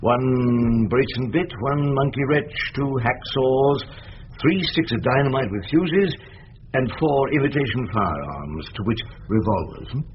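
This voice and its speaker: An actor playing an older English barrister who narrates his cases in a radio drama with dry, ornate, deliberate phrasing. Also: mid-range voice, animated, lightly acted. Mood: dry questioning. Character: older male barrister, wry, wordy storyteller of his cases. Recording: dull lo-fi radio recording, background noise.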